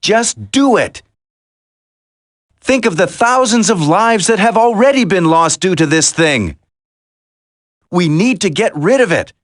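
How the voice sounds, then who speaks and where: A man's voice: sits high, expressive, measured pace, pauses often, dry recording, clean studio sound. A man playing an earnest, determined secret agent hero in a dramatic video game, speaking emphatically. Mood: resolute.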